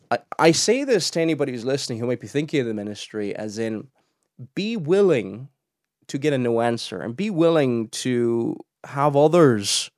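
Brief: young male host, mid pitch, dry close sound, chatty commentary. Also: a little mellow, no echo. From Cork, Ireland. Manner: chatty, restarts often, laughs in asides. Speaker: podcast host. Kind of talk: casual commentary.

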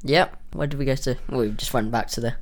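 A man's voice: medium pitch, quick pace. A young man chatting casually and a little hesitantly as a podcast host. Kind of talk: casual chat.

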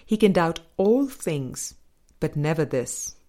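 A woman reading a spiritual book aloud as a scripted audiobook, in measured, deliberate short phrases. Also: sits low, very animated, mellow. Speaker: audiobook reader.

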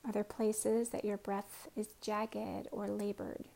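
Soft-voiced woman leading a prayerful body scan, breathy and slow, half whispering. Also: medium pitch, level tone, low-quality recording. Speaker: guided meditation teacher.

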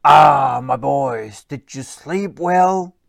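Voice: mid-range voice, extremely slow, creaky, dry recording, heavily acted. A storyteller voicing a cheerful, fatherly troll who encourages and explains in a children's bedtime story. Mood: hearty.

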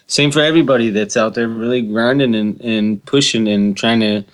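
A man's voice: mid-range voice, normal speed. A man co-hosting a podcast, chatting casually and earnestly, repeating himself and swearing. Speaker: podcast co-host. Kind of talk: casual chat.

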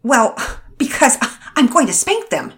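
A woman reading a storybook, voicing an irritable mother who talks loudly and huffily. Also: mid-range voice, expressive, normal speed, voice pushed up, lightly acted. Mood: irritated. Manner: loud, huffy, rising to tearful. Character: exasperated mother, quick to anger.

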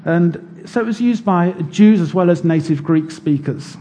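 A man delivers a sermon in a measured, explanatory way, walking his listeners through scripture step by step. His voice sits a little high for a man, with a warm, mellow tone. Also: slight background noise. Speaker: preacher. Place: church.

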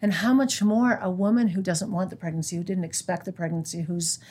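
American woman in her 60s, mellow husky voice. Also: lowish voice, steady tone, fairly quick. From United States. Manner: earnest, quotes scripture. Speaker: guest, pro-life organization leader. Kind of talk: conversational interview.

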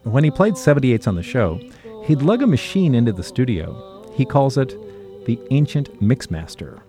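A man's voice: mid-range voice, sing-song, normal speed. A man narrating a scripted radio documentary as its reporter. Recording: faint music under narration. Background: soft music.

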